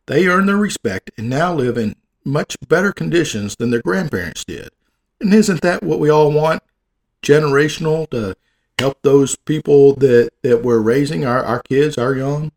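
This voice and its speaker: A man's voice: on the high side, animated, moderate pace. A man with a Southern American accent reading out facts and stopping for folksy jokes, sometimes tripping over long words. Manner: folksy, wisecracking, stumbles over hard words. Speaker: presenter. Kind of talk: reading facts aloud with joking asides.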